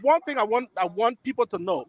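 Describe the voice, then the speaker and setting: Very high-pitched excitable man. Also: fairly quick, crowd noise. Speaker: show guest. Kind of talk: casual chat.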